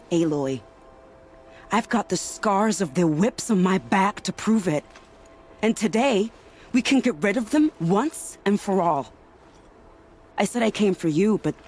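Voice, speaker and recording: A woman acting a poised, sly spy with a fierce streak in a video game, arch and dramatic. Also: medium pitch. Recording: music behind the voice.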